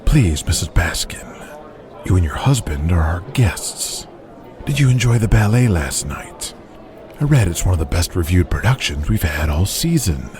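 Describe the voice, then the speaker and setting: Audio drama promoter, played by a man: deep, polite, deliberate salesman, always courting talent. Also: big pitch swings, crowd noise. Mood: courteous. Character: smooth, persuasive male wrestling promoter, courting a star. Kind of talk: audio drama character acting.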